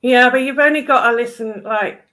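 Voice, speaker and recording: A British woman talks casually with a slightly raised pitch. She is blunt and dismissive, using everyday slangy speech as she chats. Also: normal speed, sharp tone. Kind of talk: casual chat.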